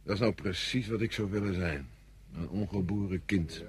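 An actor playing a fatalistic, brooding soldier in a Dutch radio drama, speaking philosophically. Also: male voice, deep voice, normal speed, a little hiss or hum. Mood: brooding, detached. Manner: philosophical, reflective. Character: fatalistic, brooding soldier curious about death.

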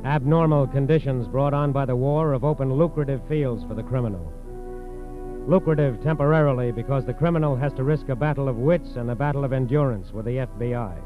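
A man's voice: medium pitch, fairly quick, music in the background. A man with an American accent narrating a scripted 1940s crime drama with grave, authoritative oratory. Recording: tinny band-limited 1940s radio recording.

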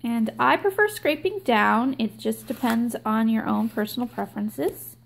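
A young woman hosting her own nail art channel, explaining a stamping tutorial slowly and with many ums. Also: on the high side, gravelly. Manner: slow, filler-heavy explaining with many ums. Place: indoors at a table, hands filmed from above.

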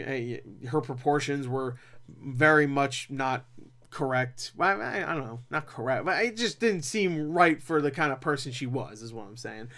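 A young man talking casually as a film reviewer, rambling and animated, with opinionated asides. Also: mid-range voice. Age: young adult. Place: small cluttered room at home.

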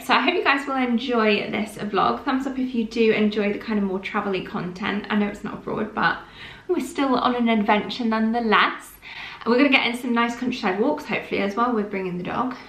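A woman's voice: a little high, fairly bright tone, echoey room. A woman in her thirties chatting casually to camera as a vlogger, upbeat and filling her speech with "like" and "um". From England. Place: bedroom at home, then inside a car. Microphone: camera held at arm's length or set nearby.

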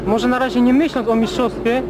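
High-voiced young footballer, stumbling. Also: male voice, steady tone, a little fast, background noise. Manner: stumbling, repetitive. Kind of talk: interview.

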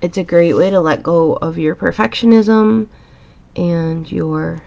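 A woman teaching a drawing pattern step by step in a calm, chatty, reassuring way, her voice a little raspy. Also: on the low side, unhurried, dull audio. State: slightly raspy from a dusty room. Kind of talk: relaxed step-by-step drawing tutorial. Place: home art studio, indoors.

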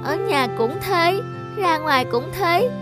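Here is a lonely little cartoon girl, acted by a woman, with a high, childlike voice. She speaks plaintively and slowly, as a withdrawn daughter would. Light music plays behind her. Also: animated, heavily acted. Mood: sad, accusing. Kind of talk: cartoon character voice.

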